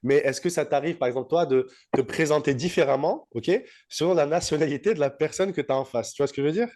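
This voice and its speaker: A man's voice: fairly high pitch, fast talker, darkish tone. A man hosting a podcast in a casual, chatty interview style. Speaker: podcast host.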